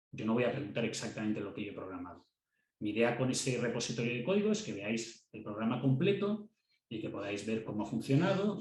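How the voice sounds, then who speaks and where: A man's voice: lowish voice, roomy sound, rough recording. A man with a Castilian Spanish accent giving a university class, talking conversationally, repeating words as he thinks and checking in with students. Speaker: university lecturer. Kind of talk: classroom lecture.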